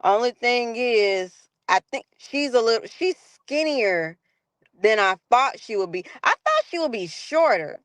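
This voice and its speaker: A woman chatting casually as a livestream guest in a chatty, relaxed way. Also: fairly high pitch, measured pace, no echo. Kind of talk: casual chat.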